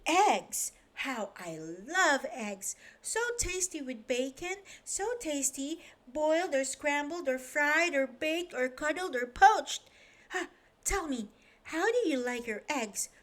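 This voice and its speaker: A woman reading a children's story, voicing a cheerful man who talks on and on in rapid, gushing chatter. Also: sits high, fairly bright tone, voice pushed up, exaggerated voice. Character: endlessly talkative cheerful man.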